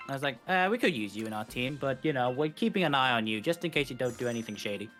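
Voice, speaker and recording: A man's voice: mid-range voice, animated, quick pace, fairly bright tone, soft background music. A man giving casual, explanatory co-commentary on a live speedrun, paraphrasing things informally.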